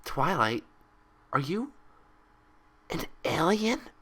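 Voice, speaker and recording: Man putting on a high, hyper, chirpy girl pony. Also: drawn-out words, heavily acted. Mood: excited, amazed. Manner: bubbly, rambling, childlike excitable chatter with filler "like". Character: hyper, cheerful, gullible young female cartoon pony.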